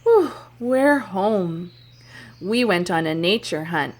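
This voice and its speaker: Slow, animated woman entertaining toddlers. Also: medium pitch, a little hiss or hum. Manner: slow, animated, simple child-directed phrasing. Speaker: childcare educator reading and singing to toddlers. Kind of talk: sing-song picture book storytelling and play for toddlers.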